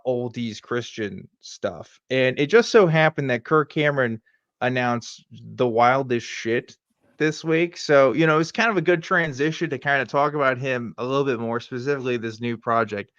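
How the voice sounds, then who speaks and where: A man's voice: medium pitch, fairly bright tone, dry recording, lo-fi sound. A man hosting a live show, chatting casually and joking in a sarcastic manner. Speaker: host of a live weekly show. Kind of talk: casual, joking commentary chat.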